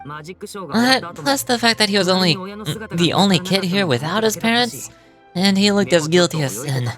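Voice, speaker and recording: A young male street magician from a video game, acted by a woman, pitched well below her usual voice. He is playful and shrewd, speaking with theatrical, teasing assurance. Music plays underneath. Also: animated, moderate pace, lightly acted. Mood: playful, confident. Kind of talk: video game character dubbing.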